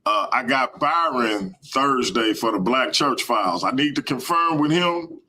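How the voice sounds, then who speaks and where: An American man with a mid-pitched, bright and forceful voice hosts a casual live stream. His speech is colloquial and emphatic, laying out arguments point by point. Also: expressive, measured pace, a little hiss or hum. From United States.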